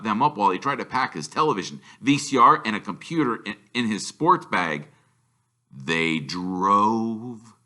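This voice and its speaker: Very bright, animated male storybook reader. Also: lowish voice. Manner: expressive, emphatic, with drawn-out words. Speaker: teacher reading aloud.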